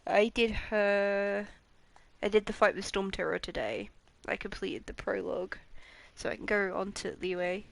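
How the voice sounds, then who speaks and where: A woman's voice: mid-range voice, steady tone, a little slow, gravelly. A woman streamer giving chatty, rambling commentary while playing a game, swearing now and then. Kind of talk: casual gameplay commentary. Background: game music.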